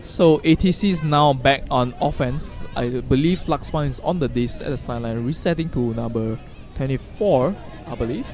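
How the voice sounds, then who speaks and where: A man doing live play-by-play commentary at an outdoor field, given to sudden loud exclamations. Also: medium pitch, normal speed, faint music behind. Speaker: sports commentator.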